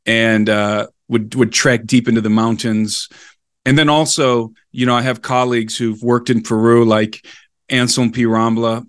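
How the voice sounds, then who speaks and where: Male, podcast guest, enthusiastic explainer, digressive storytelling, dry recording, mid-pitched. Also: no echo. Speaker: podcast guest, explorer and researcher. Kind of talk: conversational historical storytelling.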